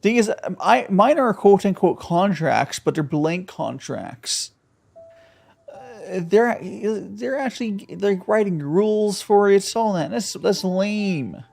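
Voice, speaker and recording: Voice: mid-range voice, animated, slow, vocal fry, a little mellow. A streamer joking around and rambling during live gameplay commentary. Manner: joking, playful, rambling asides. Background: faint game music.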